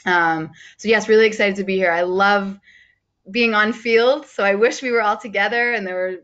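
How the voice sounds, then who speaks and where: A woman's voice: mid-range voice, normal speed, fairly bright tone, dull audio. A woman coach presenting in an earnest, enthusiastic way during an online training session, with frequent ums and you knows.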